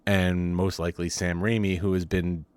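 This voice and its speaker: A man's voice: low-pitched, little pitch movement, moderate pace, darkish tone, smooth, no room sound. A man co-hosting a casual movie podcast, talking bluntly with swearing and self-mocking jokes. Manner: blunt, profane, joking. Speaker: podcast co-host.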